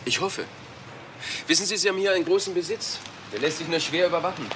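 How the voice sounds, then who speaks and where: An actor playing a sober, inquisitive police officer in a German crime radio play. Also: male voice, on the high side, fast, a bit bright, slight background noise, lightly acted. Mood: sober, warning.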